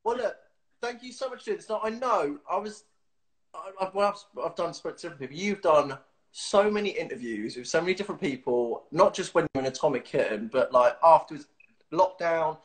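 A man's voice: on the high side, animated, fairly quick, rough recording. A man in his thirties hosting a casual video-call interview from a small room at home, chatty and giggly with lots of fillers and restarts. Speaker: host. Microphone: webcam on a video call.

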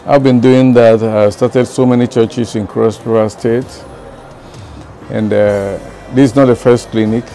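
Older Nigerian man interviewed, creaky low voice, hesitant, unhurried. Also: steady tone, warm tone, faint music behind. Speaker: clinic founder and evangelist. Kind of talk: informal interview answers. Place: outdoors in front of a building, seated.

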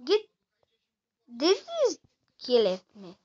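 Young female streamer, halting self-talk, unhurried, thin home-mic sound. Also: a little high, sing-song, a little mellow, dull audio. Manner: halting, talks to herself while playing. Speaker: gamer playing a horror game. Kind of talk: casual let's-play commentary.

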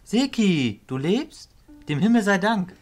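An actor playing a gentle, earnest male illusionist in an expressive way. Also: sits high, slow, heavily acted. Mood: relieved, joyful.

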